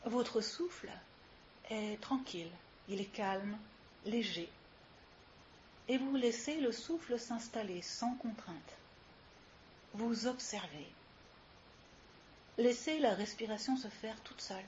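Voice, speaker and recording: A woman leading a guided yoga relaxation as instructor, giving soothing, unhurried instructions with long pauses, recorded live. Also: fairly high pitch, expressive, darkish tone. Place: live session with ambient sound. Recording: muffled live recording with clear hiss.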